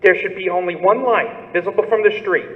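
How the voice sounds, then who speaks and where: A man's voice: high-pitched, brisk. A man playing a coolly formal murder conspirator in an old-time radio thriller, heard as a telephone voice. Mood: cool, businesslike. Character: calm male murder conspirator, coolly giving instructions. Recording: thin phone-like sound, echoey hall reverb.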